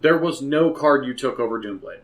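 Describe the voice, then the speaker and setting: A man's voice: medium pitch, normal speed. Emphatic man chatting on a podcast. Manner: animated, slips into exaggerated mock quotes to make points. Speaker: podcast co-host. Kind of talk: casual hobby podcast chat.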